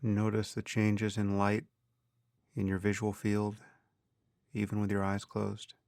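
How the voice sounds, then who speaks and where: A man guiding a meditation as a teacher, speaking calmly and deliberately in measured phrases with pauses. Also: fairly low pitch, gravelly, dry recording, clean studio sound. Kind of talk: guided meditation narration.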